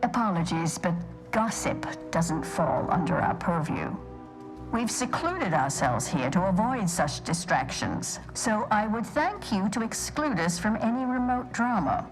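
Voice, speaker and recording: A woman's voice: mid-range voice, big pitch swings, a little slow, over music, poor audio. A woman playing a prim, pedantic scholar of a stoic order, speaking formally and precisely in a video game. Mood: prim, measured. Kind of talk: video game dialogue acting.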